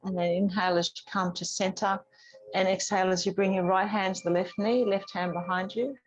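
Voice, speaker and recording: A woman leading a gentle yoga class as the teacher, guiding with a calm, soothing, gently instructing manner. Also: medium pitch, fairly quick, faint music behind, rough recording.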